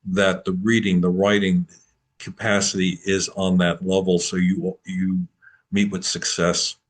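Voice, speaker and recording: A man answering applicants' questions at an online open house, relaxed and explanatory, over a video call. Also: low-pitched, a little slow. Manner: relaxed, explanatory, with repeats and restarts. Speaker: program admissions advisor.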